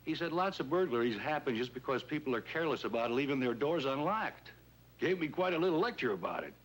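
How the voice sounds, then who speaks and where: A man's voice: mid-range voice, big pitch swings, fast talker. A man playing a wary, folksy shopkeeper who has been swindled, hesitant and plain-spoken, in a 1950s police drama. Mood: earnest, rueful. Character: wary, folksy male shopkeeper, robbery victim. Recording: noisy old 1950s film soundtrack.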